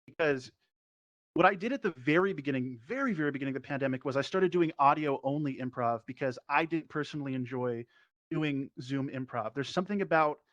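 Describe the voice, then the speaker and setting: A man's voice: medium pitch, no echo. A man who performs and teaches improv, explaining conversationally as a panelist in an online discussion. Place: virtual reality social platform meetup, online. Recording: dull lo-fi online voice chat.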